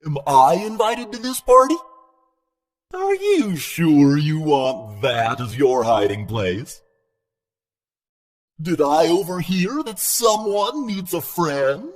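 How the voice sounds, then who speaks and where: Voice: medium pitch, big pitch swings, slow pace, long reverb tail. An actor voicing a cheerful, needy toy animatronic entertainer in a video game, theatrical and falsely friendly with menace underneath. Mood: falsely sweet.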